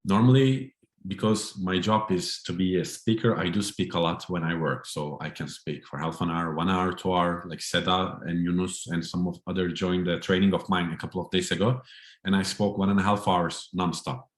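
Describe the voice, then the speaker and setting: A low-voiced man runs a training session over a video call. He explains in a friendly way, his voice echoing in a roomy space. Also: fairly even intonation, moderate pace. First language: Turkish. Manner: friendly, explanatory, light laughter. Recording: roomy echo.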